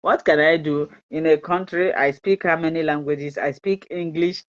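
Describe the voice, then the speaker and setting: A woman's voice: sits low, fairly even intonation, normal speed. Chatty Nigerian woman hosting a live stream. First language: Igbo. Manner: chatty, repeats herself, mixes in pidgin, laughs often. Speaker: fertility channel host. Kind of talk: live-stream advice talk, reading viewer comments. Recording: dull lo-fi live-stream audio.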